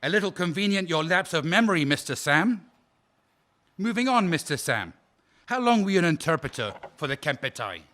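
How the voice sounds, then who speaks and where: A man's voice: a little high, big pitch swings, fairly quick, pushed and bright. A man playing a prosecuting officer at a war crimes trial, cross-examining a witness in a probing, sarcastic and formal way. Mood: cold. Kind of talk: audio drama acting.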